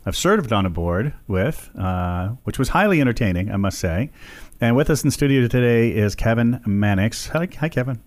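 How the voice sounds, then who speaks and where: A man's voice: medium pitch, expressive, normal speed, a little mellow. A man hosting a local radio talk show, chatting casually and rambling with many fillers. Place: radio studio.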